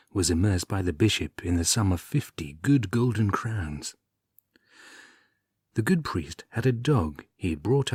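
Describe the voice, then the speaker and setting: Husky low-voiced man reading an old tale. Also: a little mellow, dry recording, clean studio sound. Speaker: audiobook reader. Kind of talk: storytelling narration of an old comic tale.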